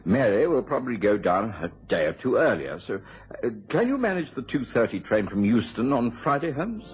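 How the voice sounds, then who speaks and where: An actor playing a kindly, dutiful British gentleman in an old radio drama, genteel in his speech. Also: mid-range voice, sing-song, brisk, natural voice. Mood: pleased. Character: kindly, dutiful married English gentleman, loyal friend. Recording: muffled vintage radio recording.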